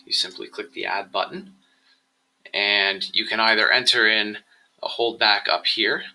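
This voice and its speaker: A man with a lowish voice and a very bright, forward tone narrates an instructional screencast. He explains things step by step in a measured way, as a presenter guiding viewers through software. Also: low-quality recording.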